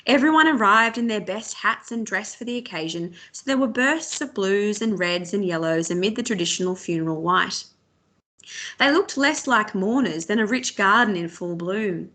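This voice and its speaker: A woman narrating a novel aloud for students in a measured, expressive storytelling manner. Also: medium pitch. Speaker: teacher reading aloud to students.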